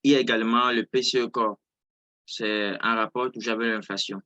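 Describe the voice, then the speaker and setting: A man with a bright, forceful voice teaches informally in a live online session. He is a non-native French speaker and often restates his words for emphasis. The sound is close and dry. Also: medium pitch, steady tone, a little fast, no echo, lo-fi sound. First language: not French. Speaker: trading instructor. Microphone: close mic on an online video call.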